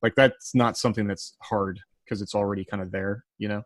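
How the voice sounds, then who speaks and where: A man's voice: fairly low pitch, fairly even intonation, fairly quick, dry recording. A man chatting casually on a panel in a reflective, somewhat tentative way. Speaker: writer on a panel.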